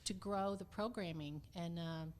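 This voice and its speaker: A woman's voice: on the low side, moderate pace, a little mellow. A woman talking casually as an interview guest representing a community theater.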